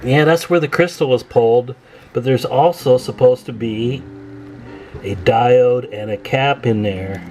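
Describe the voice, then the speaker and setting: A man's voice: mid-range voice, measured pace, darkish tone, slight background noise, poor audio. A man giving an informal repair walkthrough as a technician, thinking aloud while he works. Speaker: radio repair technician, video host. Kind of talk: casual hands-on repair walkthrough.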